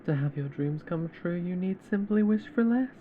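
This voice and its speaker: A man playing a possessive, lovesick captor in an ASMR roleplay, making musing, drawn-out asides over an old film. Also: sits high, lively intonation, narrow, tinny audio, slight background noise. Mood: wry, musing.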